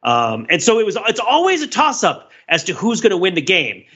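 Guy podcaster rambling thru an explanation, sharp bright highish voice, keeps correcting himself, slightly dull audio. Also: wildly swooping pitch, fairly quick, slightly rough. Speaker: podcast host. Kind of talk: casual podcast discussion, recounting a TV show.

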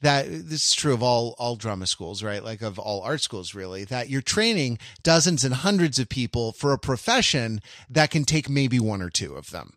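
A man co-hosting a podcast, thinking aloud in a philosophical, roundabout way. He pauses often with fillers and restarts, his voice mid-pitched and recorded dry and close. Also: very animated, fairly bright tone, no echo. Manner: musing, philosophical, halting with many fillers. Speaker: podcast co-host. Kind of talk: casual chat.

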